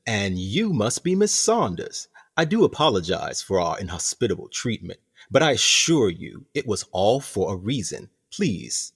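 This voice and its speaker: A man acts out a sinister male prison director from a radio play. His voice is low and swings widely in pitch. He speaks with formal, measured courtesy that carries quiet menace. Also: slightly rough, heavily acted. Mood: falsely courteous. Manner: formal, eloquent, theatrical, measured. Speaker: actor in an audio drama. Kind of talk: community theatre radio play acting.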